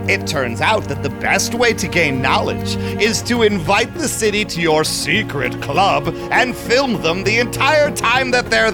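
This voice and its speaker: An actor playing a shady, smug vampire businessman who owns a club, with suave, theatrical delivery in an audio drama. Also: high-pitched. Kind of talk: audio drama narration and dialogue.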